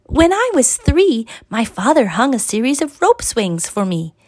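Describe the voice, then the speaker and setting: An eager young girl played by a woman narrator in a children's story. The voice sits high, well above an ordinary adult register, and sounds earnest and childlike. The recording is dry. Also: big pitch swings, a little slow, dry recording. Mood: proud, animated.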